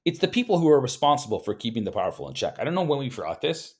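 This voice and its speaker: A man with a mid-range voice reading a history book aloud at an even pace. He trips over a word now and then and repeats it, then drifts into relaxed off-script comments before going back to the text. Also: mid-range voice, very fast, breathy edge. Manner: reads steadily, stumbles and repeats words, breaks off into chatty asides. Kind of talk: reading aloud with casual asides.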